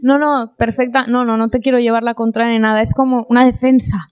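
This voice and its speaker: A young woman from Spain in her twenties, speaking as a guest in a panel debate, animated and chatty with lots of fillers and quoted asides. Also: on the high side, brisk, clear, resonant tone, dry recording. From Gandía, Spain.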